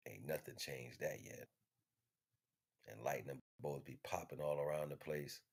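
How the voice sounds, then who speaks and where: Deep, husky man preaching off the cuff. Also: steady tone, a little slow. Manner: emphatic, repetitive rhetorical questions and refrains, street slang. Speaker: spiritual teacher streaming online. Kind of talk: impromptu spiritual monologue, preaching.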